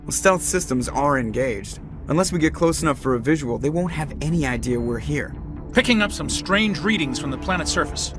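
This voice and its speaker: A man's voice: fairly high pitch, lively intonation, fairly quick, close to an everyday voice. An actor voicing a cocky, wisecracking male starship pilot in a video game, wry and sardonic. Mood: businesslike, then tense. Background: game music.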